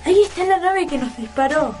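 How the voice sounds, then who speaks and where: An actress playing a frightened, horrified member of a fleeing family in a radio drama, with an anxious delivery. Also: female voice, high voice, lively intonation, a little fast, darkish tone. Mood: anxious, fearful. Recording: distant from mic, heavy music behind.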